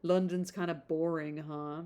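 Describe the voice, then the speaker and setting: A woman with a slightly low-pitched voice reads aloud as a podcast host. Her delivery is informal audiobook style, mixed with chatty, rambling asides addressed to the listener. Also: steady tone, unhurried, mellow, clear, resonant tone. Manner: conversational, rambling off on tangents in asides. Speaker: podcast host reading a classic novel aloud.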